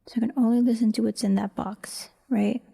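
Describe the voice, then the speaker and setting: A woman giving casual behind-the-scenes commentary as she edits, halting and muttering to herself. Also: fairly high pitch, fairly even intonation, creaky, mellow, slight background noise. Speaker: voice actor, audio creator.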